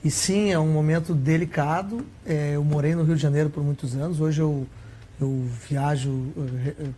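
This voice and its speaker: Earnest man, higher voice. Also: fairly even intonation, moderate pace, mellow. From Rio Grande do Sul, Brazil. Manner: earnest, explains with figures, some restarts. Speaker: author and academic guest. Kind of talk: TV interview.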